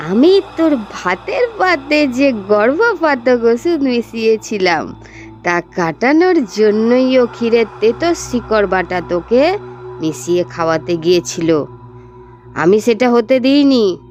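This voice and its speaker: A wicked older matriarch from a horror cartoon, gloating and taunting. Her high voice drags each phrase out slowly with cruel relish, over background music. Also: a little mellow. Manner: slow, drawn-out, taunting confession with wicked relish. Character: cruel, gloating older village matriarch practising dark tantra. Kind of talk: dramatic horror cartoon voice acting.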